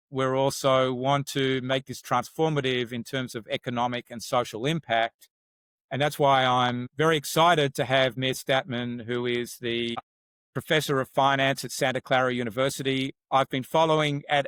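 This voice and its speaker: A man's voice: mid-range voice, fairly bright tone, smooth, dry recording. A middle-aged man with an Australian accent, hosting a conversational interview and often restarting and repeating words. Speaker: podcast host.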